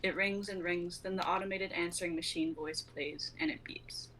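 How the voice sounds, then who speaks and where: Low-voiced young woman reading stage directions. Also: steady tone, normal speed, a bit bright. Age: young adult. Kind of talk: scripted narration. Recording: noisy amateur livestream audio.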